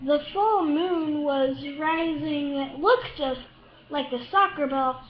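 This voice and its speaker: Woman, slow halting storybook read-aloud, repeated words, slightly muffled roomy recording. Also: sits high. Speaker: storybook reader. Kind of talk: children's picture book read aloud.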